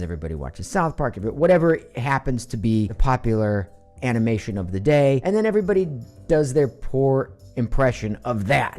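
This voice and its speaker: A middle-aged man teaching a voice acting class in a chatty, animated way, full of asides. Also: mid-range voice. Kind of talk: casual lecture to a class.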